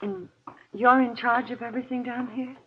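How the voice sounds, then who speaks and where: An actress playing a timid, anxious wife, hesitant and pleading, in an old-time radio horror drama. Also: female voice, fairly high pitch, level tone, moderate pace, a little hiss or hum, natural voice. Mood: meek, uneasy. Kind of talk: golden age radio horror acting. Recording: tinny, narrow old radio recording.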